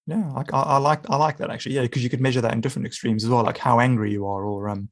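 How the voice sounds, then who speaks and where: A man's voice: mid-range voice, fairly even intonation, racing. Brief: a male podcast host with a friendly manner who restarts words often. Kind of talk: casual interview.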